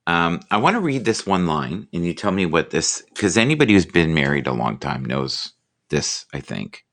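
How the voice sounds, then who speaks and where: A man hosting a casual live chat, relaxed and rambling, who reads inspirational quotes aloud slowly. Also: sits low. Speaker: livestream host.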